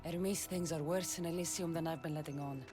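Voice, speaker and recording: A woman's voice: low-pitched, steady tone, fairly quick, lightly acted. An actress playing a tough, skeptical female mercenary in a video game cutscene, speaking in a blunt, dry, self-assured way. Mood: wary, warning. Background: music.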